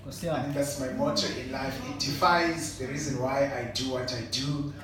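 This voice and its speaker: A man's voice: medium pitch, flat delivery, moderate pace. A man from Zimbabwe speaking on an entrepreneurship panel, earnest and reflective, often adding "you know". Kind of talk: panel discussion answer. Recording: echoey hall from far off, noisy.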